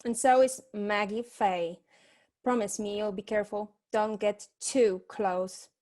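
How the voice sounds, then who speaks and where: A woman's voice: medium pitch, steady tone, a little slow, darkish tone, close to an everyday voice. A woman playing a private eye's worried, loyal assistant, speaking earnestly in a comic detective radio play. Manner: earnest, cautioning.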